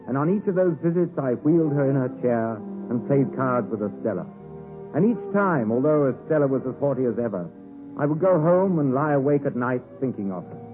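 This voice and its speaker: An actor playing a wistful gentleman who narrates his boyhood in a reflective, literary 1950s radio drama style. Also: medium pitch, close to an everyday voice. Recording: muffled 1950s radio recording, faint music.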